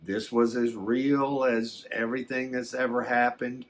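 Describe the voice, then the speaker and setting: An older man telling a personal story in a casual, unscripted way, halting and repeating words as he reflects. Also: mid-range voice, flat delivery. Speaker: storyteller recounting a personal experience.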